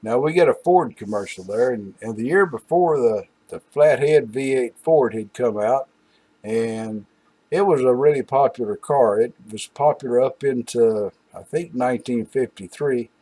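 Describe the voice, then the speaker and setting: An older man hosting an old-time radio show, reminiscing casually in a folksy, halting, rambling way with asides. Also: mid-range voice, dark tone, slightly rough.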